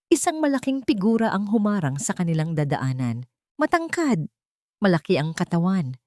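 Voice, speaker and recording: Dramatic woman storyteller, low and lively. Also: no echo, clean studio sound. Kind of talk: scripted dramatic narration.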